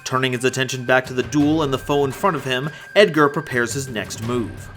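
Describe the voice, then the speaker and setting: A man's voice: mid-range voice, a little fast, a bit bright. A man giving dramatic scripted narration for an audio drama. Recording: music behind the voice.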